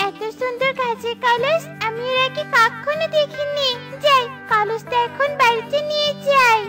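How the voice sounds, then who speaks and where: A woman's voice: very high-pitched, steady tone, unhurried, loud music behind the voice. A woman voicing a sweet young female bird in a children's cartoon, earnest and girlish. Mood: curious, delighted.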